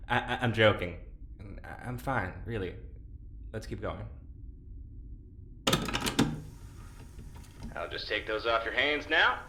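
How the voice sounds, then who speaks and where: A woman's voice: extremely low pitch, expressive, moderate pace. A woman playing a conflicted, anxious guard in an audio drama, hesitant and prone to stammering. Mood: uneasy. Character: conflicted, anxious, soft-hearted guard Vic. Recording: roomy sound with faint noise.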